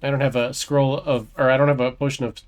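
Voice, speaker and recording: A man's voice: medium pitch, level tone, fast. Man casually narrating his game playthrough. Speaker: gaming video host. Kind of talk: casual game playthrough commentary, reading choices aloud. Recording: faint game music behind. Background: game music.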